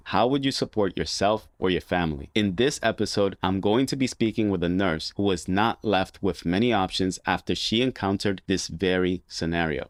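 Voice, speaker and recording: A man hosting a podcast, reading a steady introduction and asking measured interview questions. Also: on the low side, dry recording, studio quality. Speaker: podcast host.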